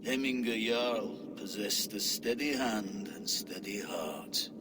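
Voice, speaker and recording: An actor playing a reclusive exiled master craftsman who speaks slowly and cryptically in a hushed tone. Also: lowish voice, noisy background. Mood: cryptic.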